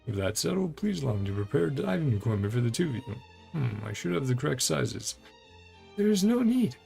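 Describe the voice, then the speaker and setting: A grandiose treasure hunter from a video game, acted out by a man, declaiming in florid, courtly phrases. The voice is very gravelly and swings expressively up and down, eager and flattering, with music playing underneath. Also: mid-range voice, brisk. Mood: gallant, flattering. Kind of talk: game dialogue read aloud in character.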